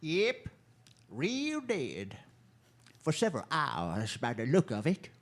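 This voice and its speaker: Man as a high-voiced, slow frontier doctor. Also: huge pitch swings, exaggerated voice. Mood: dry, grim. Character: dry, blunt male frontier town doctor. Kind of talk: Western radio drama acting.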